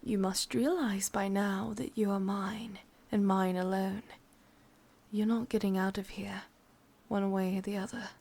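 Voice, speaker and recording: A woman with an English accent playing a sadistic, possessive, vain witch in a breathy, whispered ASMR roleplay, intimate and menacing. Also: mid-range voice, steady tone, unhurried, darkish tone. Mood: possessive, threatening.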